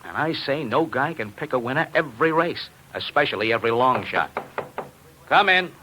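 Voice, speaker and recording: A man's voice: medium pitch, animated, normal speed, sharp tone. A man playing an exasperated, hard-boiled bookmaking boss in an old-time radio drama. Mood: grim, frustrated. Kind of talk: 1940s radio drama acting. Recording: faintly noisy old radio recording.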